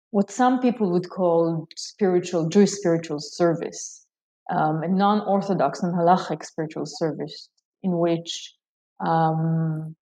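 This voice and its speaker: An Israeli woman, a Hebrew speaker, recounts her path in a reflective interview. Her voice sits a little low with a mellow, dark tone. She hesitates often, restarting phrases as she searches for words. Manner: thoughtful, hesitant with frequent ums and restarts. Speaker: scholar guest. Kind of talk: interview answers.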